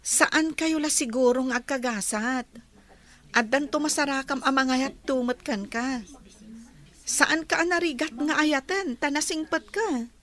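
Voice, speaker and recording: A young Ilocano college student in a radio drama. She has a high voice and a gentle, sympathetic manner. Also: a little slow. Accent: Filipino, Ilocano.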